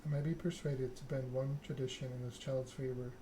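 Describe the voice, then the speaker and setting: A man's voice: medium pitch, little pitch movement, brisk, mellow, natural voice, rough recording. A man reading the part of a stern, regal female vampire ruler in a formal, solemn way. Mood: measured, authoritative. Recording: clear background noise.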